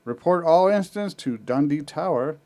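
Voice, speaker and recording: Stern, sarcastic commander, higher-pitched male voice, read by a podcast host. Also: expressive, moderate pace, a little mellow. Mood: commanding. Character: stern male commander briefing scouts by radio.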